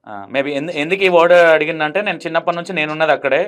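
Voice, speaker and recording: A man's voice: on the high side, steady tone, racing, a bit bright. A man hosting a casual phone interview, asking probing questions in a teasing, prodding manner. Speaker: interview host.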